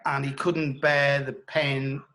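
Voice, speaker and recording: A man answering as an interview guest, reflecting in a thoughtful, halting and emphatic way. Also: medium pitch, flat delivery, bright, forceful tone, rough recording.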